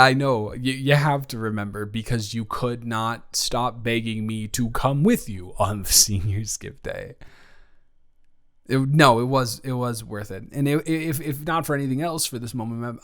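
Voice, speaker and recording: A man acts out a young guy in love with a best friend, in an improvised romantic audio roleplay. His voice sits in an average male range. He speaks in a nervous, halting ramble, casual and playful, often doubling back on his own sentences. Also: mid-range voice, close to an everyday voice. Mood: playful, reminiscing. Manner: halting, rambling, self-interrupting, chuckling.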